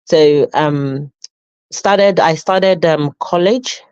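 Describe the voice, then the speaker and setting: A woman's voice: low-pitched, extremely slow, darkish tone, clear, resonant tone, dry recording. A woman from Nigeria with a Nigerian accent, a custody nurse in her thirties telling her life story in an interview, reflective and full of fillers and restarts. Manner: reflective, with frequent fillers and self-corrections. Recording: dull lo-fi sound.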